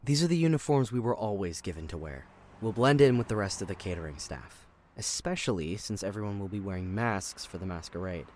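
An actor playing a confident, reassuring ringleader of amateur thieves in an audio drama. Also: male voice, on the low side, lively intonation, brisk, a little mellow, slight background noise, natural voice. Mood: insistent. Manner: reassuring, persuasive.

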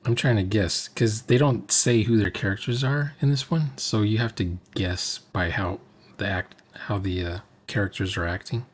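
A man's voice: fairly low pitch, normal speed. A man giving casual running commentary as a reviewer of a game session. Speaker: reaction commentator.

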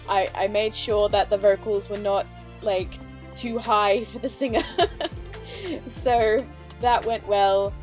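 High-voiced woman giving casual reactions over music. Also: a little mellow. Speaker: reaction video host. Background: background music.

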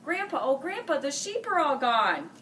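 A woman in her forties reading a children's picture book aloud, dropping low and soft for the older characters. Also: very animated, moderate pace. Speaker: school staff reading buddy. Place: small room, seated before a green-screen farm backdrop. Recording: distant mic with faint hiss.

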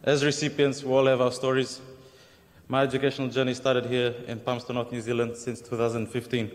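A man's voice: medium pitch, level tone, brisk, in a large hall. A young man from Fiji giving a formal, earnest acceptance speech with touches of humor at an awards ceremony. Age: young adult. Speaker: award recipient. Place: large hall at an awards ceremony.

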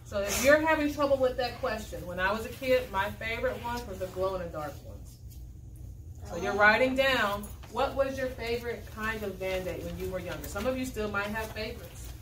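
A young woman leads a read-aloud in a classroom, giving directions and posing questions in a dry teacherly way. Her voice sits at a middle pitch. The recording is echoey and distant, with faint noise behind her. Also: moderate pace, a bit bright. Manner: dry, instructive, checks in with "okay?". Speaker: primary school teacher. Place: school classroom, indoors. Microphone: camera a few metres away.

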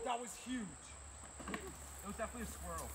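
An excitable young American man bantering in slang with friends while hiking outdoors. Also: very high voice, brisk. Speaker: vlogger on a fishing trip. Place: outdoors in overgrown wet woodland. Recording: very noisy outdoor action recording.

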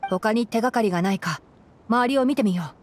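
A woman voicing a gentle young male forest ranger and scholar in a Japanese anime game, measured and explanatory. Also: fairly high pitch, slight background noise, close to an everyday voice. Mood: thoughtful. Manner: measured, explanatory, soft-spoken.

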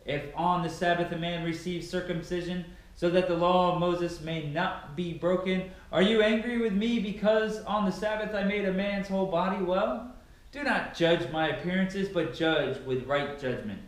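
Man reading as a solemn preacher: slow, deliberate, emphatic, slightly high; very echoey bare room. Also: natural voice. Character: solemn male preacher teaching a crowd.

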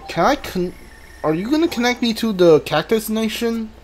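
Man, game streamer, animated intonation, casual commentary, talks back to game, slight background noise. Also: sits high, moderate pace.

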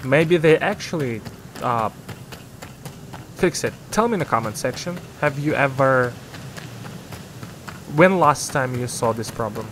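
Slow-talking man with a Ukrainian first language. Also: medium pitch, lively intonation, fairly bright tone, slight background noise. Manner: rambling, self-correcting, chuckles at himself. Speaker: gaming YouTuber. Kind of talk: casual gameplay commentary.

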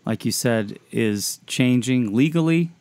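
A man's voice: mid-range voice, lively intonation, slow, a little mellow, clean tone, dry recording. A man hosting a podcast discussion about faith in a reflective, conversational style. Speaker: podcast host.

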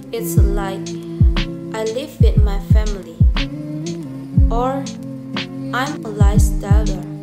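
A young woman from Indonesia with an Indonesian accent gives a rehearsed, explanatory student presentation in English as a second language. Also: medium pitch. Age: young adult. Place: small furnished living room at home. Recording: roomy echo, loud guitar music behind. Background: loud plucked guitar music.

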